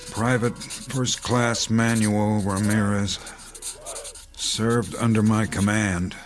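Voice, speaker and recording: An actor playing a dutiful, weary army captain in an audio drama, speaking in a sombre, halting way. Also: male voice, lowish voice. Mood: solemn, halting.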